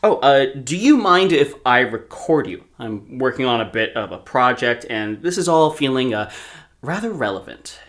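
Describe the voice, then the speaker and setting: An actor playing an awkward, apologetic young amateur investigator in an audio drama, talking in a halting, stammering way. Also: male voice, mid-range voice, expressive, a bit bright, no room sound, studio quality, lightly acted. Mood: polite, nervous. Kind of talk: naturalistic audio drama acting.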